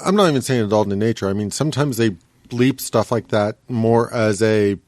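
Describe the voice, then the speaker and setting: A man co-hosting a casual podcast, explaining things in a measured, conversational way. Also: on the low side, a little mellow, no room sound. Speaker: podcast co-host. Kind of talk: casual chat.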